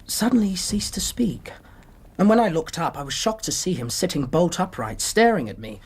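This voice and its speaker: A British Victorian doctor in a radio play. His voice is husky and expressive, with courteous, precise phrasing as he recounts a case. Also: high voice, normal speed, full character voice. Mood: earnest, troubled. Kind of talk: radio drama narration and dialogue.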